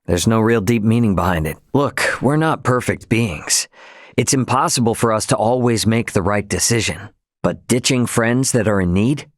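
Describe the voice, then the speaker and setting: A man's voice: lowish voice, moderate pace, dry recording, clean studio sound, lightly acted. An actor playing a brash, informal young starship captain, a cocky but loyal man, in a video game. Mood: earnest, reflective. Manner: informal, slangy, plain-spoken. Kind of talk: English game dub character dialogue.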